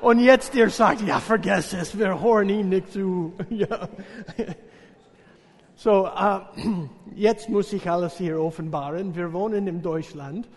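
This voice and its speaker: An American man, a visiting missionary preacher, speaking a sermon in a learned language with many pauses and fillers, joking with the congregation. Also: high voice. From USA. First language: English. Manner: halting, searching for words, many fillers, jokes with congregation. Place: church service hall. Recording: reverberant church hall.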